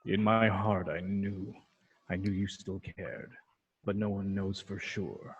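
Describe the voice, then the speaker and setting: A man playing a calm, menacing alien tyrant in a table read, with a deep, gravelly voice and a slow, fatherly condescension. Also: dull audio, exaggerated voice. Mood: calm menace. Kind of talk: amateur table read of a film script.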